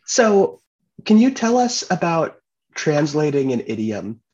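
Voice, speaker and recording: A man's voice: a little high, takes it slowly. Chatty male podcast host, lo-fi. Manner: relaxed, chatty, uses fillers. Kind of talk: casual podcast chat.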